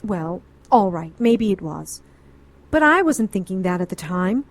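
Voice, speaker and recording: Grown woman witch, mid-pitched, composed, frank, self-justifying, audio drama narration. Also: sing-song, a little slow. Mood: composed, defensive. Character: composed, defensive adult woman witch recounting her past.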